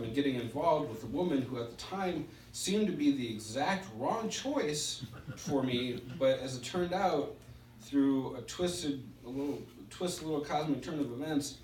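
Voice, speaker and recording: A middle-aged man with a stutter gives a personal testimony at a recovery meeting, speaking in halting, spaced-out phrases with pauses. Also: mid-range voice, darkish tone. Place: indoor meeting room. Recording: roomy, distant mic with background hiss.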